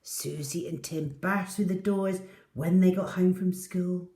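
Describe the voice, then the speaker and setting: A woman narrates a children's storybook with animated drama. Her pace is slow and measured. The room around her voice is audibly echoey. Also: on the low side, distant mic.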